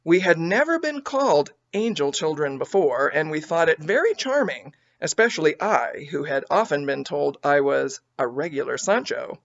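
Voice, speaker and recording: A woman reading a children's story aloud as an expressive audiobook narrator. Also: low voice, normal speed, hardly any pauses, muffled recording. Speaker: audiobook reader.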